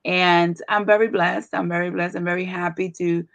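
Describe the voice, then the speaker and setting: A woman's voice: on the low side, level tone, normal speed, vocal fry, no room sound. A middle-aged woman from the Dominican Republic, a native Spanish speaker, earnestly telling her life story as a guest speaker, with many ums and restarts.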